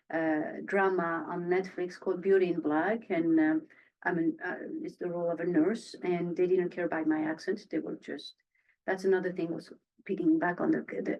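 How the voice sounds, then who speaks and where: A woman from France with a French accent, an actress telling stories in a podcast interview, chatty and animated with many restarts. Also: fairly low pitch, dull audio. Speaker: actress guest.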